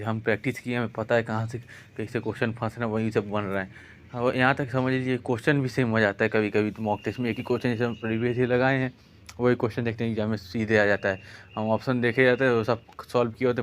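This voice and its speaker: A man's voice: lowish voice, smooth. A man giving informal exam advice as a coach, repeating his instructions and addressing students directly.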